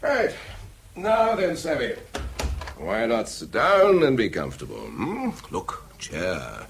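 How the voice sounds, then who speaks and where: An actor playing an ambitious, smooth-mannered government research boss, hearty and commanding in a radio drama. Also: male voice, mid-range voice, wildly swooping pitch, slow pace, slight background noise, exaggerated voice. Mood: breezy, important.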